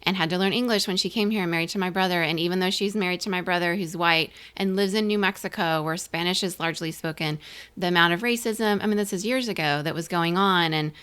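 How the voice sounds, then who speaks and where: Smooth-voiced effusive woman host. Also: on the low side, fast talker. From Central and South America. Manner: effusive, warm praise, restarts. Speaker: podcast host. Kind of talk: podcast interview.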